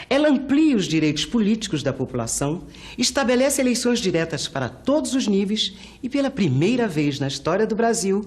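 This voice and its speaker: A narrator reading scripted historical narration in the present tense. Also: medium pitch. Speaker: documentary narrator.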